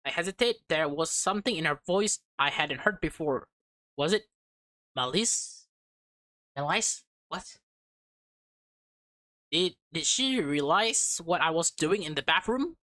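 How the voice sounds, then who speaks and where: A woman doing a let's play, reading a visual novel aloud with expressive delivery, casual reacting asides and the occasional stumble over words. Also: low-pitched, unhurried, pauses often, no room sound.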